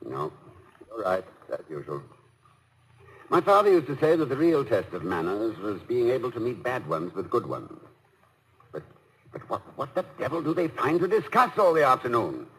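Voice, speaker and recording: Actor as a wry college president, lively delivery. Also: male voice, mid-range voice, normal speed, close to an everyday voice. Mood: restless, tense. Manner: dryly humorous, hesitant, formal wording. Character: witty, wry, anxious married college president. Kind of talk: 1950s radio comedy-drama acting. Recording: noisy vintage 1950s radio broadcast.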